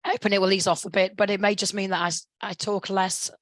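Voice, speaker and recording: A woman in her fifties presenting a webinar lecture, croaky as she is losing her voice, speaking in a measured way with hesitations and throat clearing. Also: medium pitch, flat delivery, a bit bright, no echo, studio quality. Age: 50s.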